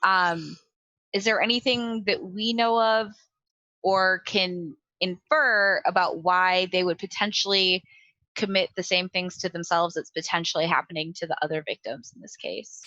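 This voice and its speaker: A woman with a bright, clear-cut voice at a middle pitch, recorded dry. She plays a tabletop game, casually thinking through ideas aloud in measured phrases. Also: fairly even intonation, no room sound, muffled recording. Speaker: tabletop role-playing game player.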